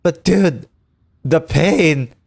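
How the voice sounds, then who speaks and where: A man's voice: fairly high pitch, drawn-out words, creaky, a little mellow, no echo. A man streaming a game with casual, reactive, jokey commentary. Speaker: gaming streamer. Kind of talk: casual let's-play commentary. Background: game music.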